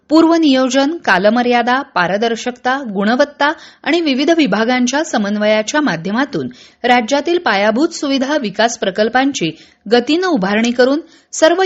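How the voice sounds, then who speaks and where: A woman reads radio news. Her delivery is formal and measured, following a script. The sound is a little dull and band-limited. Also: high voice. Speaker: radio newsreader.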